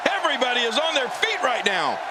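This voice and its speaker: A man's voice: very high voice, big pitch swings, fairly quick. An actor playing a hyped, exclamatory male wrestling commentator in a video game. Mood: excited. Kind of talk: excited sports commentary. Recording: loud arena crowd and noise.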